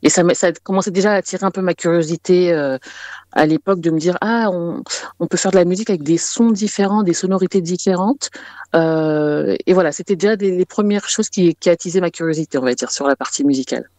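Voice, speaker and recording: A woman's voice: on the low side, fast talker, dark tone, no echo. A woman music artist giving conversational interview answers, often searching for her words and repeating herself.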